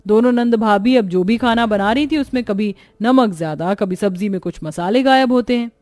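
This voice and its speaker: A woman narrator reading a scripted cartoon family story in an expressive storytelling manner. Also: mid-range voice, moderate pace.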